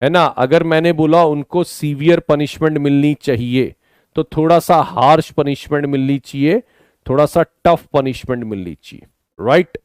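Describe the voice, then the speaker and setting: A man with an Indian accent, slightly hoarse from a sore throat, patiently explaining English vocabulary in an online class, mixing Hindi and English and urging students to answer. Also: fairly high pitch, moderate pace, a little mellow, no room sound, clean studio sound. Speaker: English teacher.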